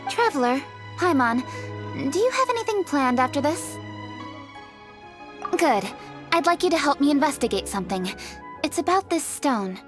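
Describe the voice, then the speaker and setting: An actress playing a poised, dutiful young city official in a fantasy video game, speaking in an articulate, earnest way. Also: female voice, high-pitched, big pitch swings, normal speed, long pauses, over music. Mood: businesslike. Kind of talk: video game character acting.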